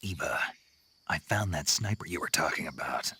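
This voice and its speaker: Terse male soldier in a game; very deep, hard-edged, clipped delivery. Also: animated, moderate pace, pushed and bright, a little hiss or hum, heavily acted. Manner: gruff, clipped, dry.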